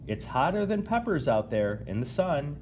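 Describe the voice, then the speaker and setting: Man as welcoming storybook bus driver, raised voice pitch, hearty calls, slight noise. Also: big pitch swings, normal speed, darkish tone. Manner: hearty, calling out invitingly. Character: friendly, welcoming bus driver calling out to travelers.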